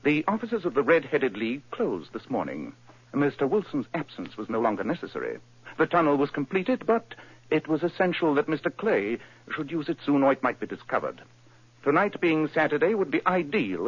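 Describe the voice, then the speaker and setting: An actor playing a brisk, precise, coolly confident male detective in a 1940s radio drama, explaining his deductions crisply. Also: fairly high pitch, big pitch swings, heavily acted. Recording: dull old radio recording.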